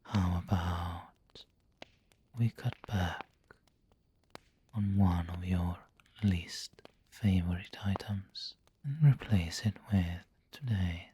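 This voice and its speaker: A man playing a refined, doting, possessive vampire lord in an ASMR roleplay, breathy and whispery, with a genteel, coaxing manner. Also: sits low, steady tone, mellow, slight background noise. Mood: gentle, refined. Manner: slow, genteel, coaxing endearments.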